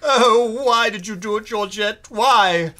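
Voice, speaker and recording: An actor playing an aghast, histrionic gentleman in a spoof of 1930s radio melodrama. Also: medium pitch, slow.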